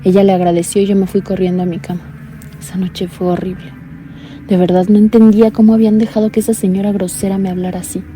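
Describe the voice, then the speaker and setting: Woman playing a soft-spoken blind young caller. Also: medium pitch, level tone, fast talker, a little hiss or hum, close to an everyday voice. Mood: uneasy. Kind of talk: radio drama narration.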